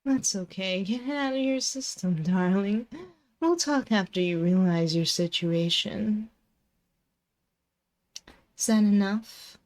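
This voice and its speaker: Slow, teasing demon girlfriend, acted by a woman. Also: mid-range voice, a little mellow. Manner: slow, coaxing, condescending pet-name teasing. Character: possessive, teasing demon inhabiting a controlling ex-girlfriend. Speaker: ASMR roleplay performer. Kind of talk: intimate ASMR roleplay. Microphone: close binaural microphone.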